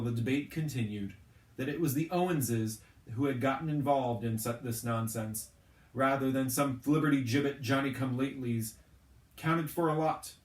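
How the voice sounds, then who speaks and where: A man reading a novel aloud as a storyteller, with expressive narration. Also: mid-range voice. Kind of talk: expressive audiobook-style narration of a children's novel. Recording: roomy, echoing, distant from the mic.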